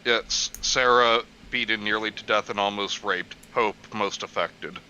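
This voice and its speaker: A man's voice: fairly low pitch, steady tone, measured pace, bright, forceful tone, highs cut off, slight background noise. A man joking casually with friends, hammy and apt to jump into silly voices. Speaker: co-commentator.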